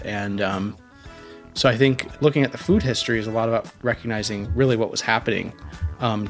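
A man co-hosting a podcast, talking things through in a conversational, reflective way with many "like" and "you know" fillers. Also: lowish voice. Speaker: podcast co-host. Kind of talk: casual podcast chat.

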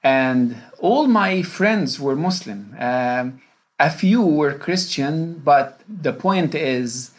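A man from Egypt, a professor, answering interview questions in a measured way, with many fillers and restarts. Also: on the high side, expressive. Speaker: guest, professor of Islamic studies.

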